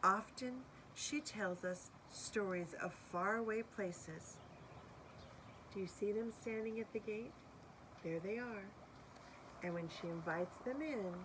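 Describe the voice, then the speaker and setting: A woman's voice: mid-range voice, takes it slowly, noisy background. A woman reading a picture book aloud expressively, with asides and questions to young listeners. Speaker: storybook reader.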